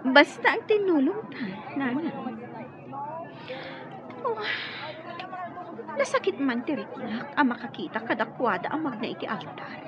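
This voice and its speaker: A woman's voice: sits high, animated, measured pace, long pauses, dull audio, a crowd in the background, background noise. A Filipino woman playing a scheming, lovesick woman set on stealing a groom, with dramatic radio drama delivery.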